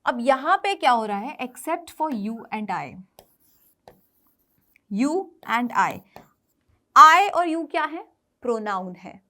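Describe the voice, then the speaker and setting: A woman with an Indian accent and a distinctly high voice teaches grammar for exam students. She speaks slowly, often asking a question and then answering it herself. Her explanations move back and forth between two languages. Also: expressive, bright, forceful tone. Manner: switches between Hindi and English. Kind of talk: exam coaching lesson.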